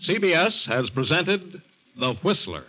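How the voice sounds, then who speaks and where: A man's voice: a little high, very animated, a little slow. A man with an American accent, formally announcing as a network announcer in 1940s radio style. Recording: muffled old radio recording, loud music behind.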